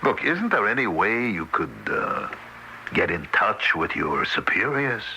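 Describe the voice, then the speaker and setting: Animated, sharp-voiced loyal friend. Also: male voice, lowish voice, unhurried, exaggerated voice. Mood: wry, coaxing. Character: kindly, excitable, world-weary man, loyal friend. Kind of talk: radio drama acting. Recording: noisy vintage radio broadcast.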